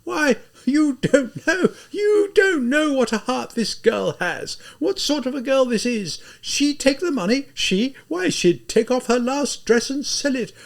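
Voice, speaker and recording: A man reading an audiobook, voicing a desperate consumptive woman who shouts hoarsely through her weeping. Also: very high voice, pitched above the performer's own voice, heavily acted. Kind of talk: audiobook character voice.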